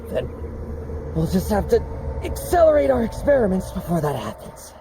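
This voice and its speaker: A man's voice: sits high, wildly swooping pitch, moderate pace, vocal fry, noisy background, full character voice. A man voicing a cool, defiant young officer with a reckless scheming streak in a video game. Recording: loud music under dialogue.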